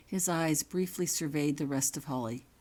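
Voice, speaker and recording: A woman reading her own fiction aloud as expressive audiobook-style narration. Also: low-pitched, moderate pace. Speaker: author reading own story.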